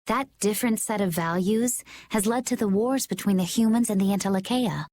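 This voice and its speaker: A woman playing a composed, knowing young adventurer in a game, explaining things calmly. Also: mid-range voice, brisk, no room sound, lightly acted. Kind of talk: English game dub acting.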